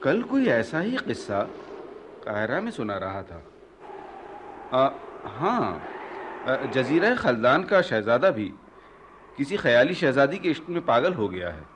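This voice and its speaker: An actor playing a helpful, inquisitive fellow traveller on a ship in a radio drama, conversational and slightly hesitant. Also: male voice, medium pitch, sing-song, takes it slowly, long pauses. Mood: curious, helpful. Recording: slightly noisy radio play recording.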